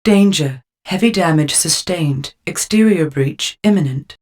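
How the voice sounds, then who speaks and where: Very low female computer voice. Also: expressive, measured pace, darkish tone. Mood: impassive. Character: impassive female car computer issuing mission alerts. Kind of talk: video game system announcements.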